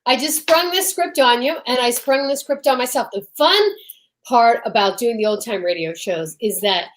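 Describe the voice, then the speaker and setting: A woman's voice: a little high, moderate pace. A woman co-hosting an old-time radio podcast, chatting casually and introducing the show in a gushing, theatrical way.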